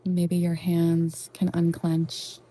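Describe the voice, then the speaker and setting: Slow, very mellow woman guiding meditation. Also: fairly low pitch, completely flat delivery, poor audio. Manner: gentle, reassuring, unhurried with long pauses. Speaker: guided meditation host. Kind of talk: soothing guided meditation narration.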